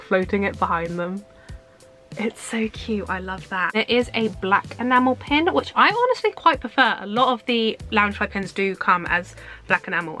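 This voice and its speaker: A young woman, chatting brightly through an unboxing in a small room, her talk bubbly with squeals and laughs. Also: a little high. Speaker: vlogger. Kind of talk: casual unboxing chat. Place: small indoor room with collectible shelves. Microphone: camera at desk distance.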